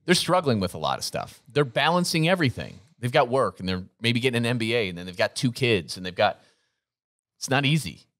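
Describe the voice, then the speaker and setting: A man's voice: medium pitch, sing-song, fairly quick, fairly bright tone, dry recording. A man in his forties co-hosting a business podcast, earnest and motivational, repeating his points, on a studio mic in a gym. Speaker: podcast co-host. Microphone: studio mic on boom arm, headphones.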